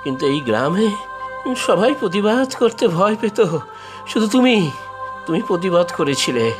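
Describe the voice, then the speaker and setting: A man's voice: high voice, sing-song, moderate pace, over music. A man voicing a bold village henchman in a Bengali cartoon, with a dramatic, pointed delivery. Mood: earnest. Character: moneylender's bold village henchman, secretly righteous.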